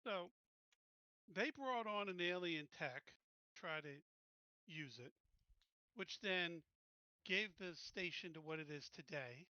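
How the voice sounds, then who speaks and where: A man's voice: high-pitched, animated, slow, long pauses, bright, forceful tone, no room sound, dull audio. A man playing a tabletop game, arguing his case casually and bluntly.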